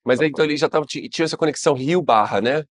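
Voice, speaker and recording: A man's voice: a little high, rapid-fire, no echo. Brazilian male interviewer, dry sound. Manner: friendly, brief backchannels. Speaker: interviewer, host. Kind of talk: conversational interview.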